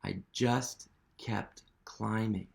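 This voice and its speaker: A man's voice: on the low side, fairly even intonation, very slow, vocal fry, voice pushed down, heavily acted. A man voicing a nervous egg who climbs back up a wall, read earnestly in first person from a storybook.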